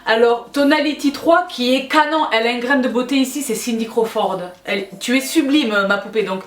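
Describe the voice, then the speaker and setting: A woman's voice: a little high, brisk. A woman chatting casually and affectionately to her followers as a video host, emphatic and given to repeating her phrases. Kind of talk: casual question-and-answer chat to followers. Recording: echoey, roomy sound.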